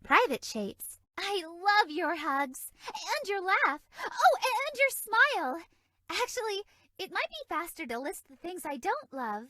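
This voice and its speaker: A sweet, naive fairy for a video game. Her voice is extremely high and airy, with animated, bouncy melody. She sounds innocently flirty, in a very dry recording. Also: measured pace, no echo, full character voice. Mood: sheepish, confiding, then adoring. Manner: bubbly, innocently suggestive, airy. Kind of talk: video game character dialogue.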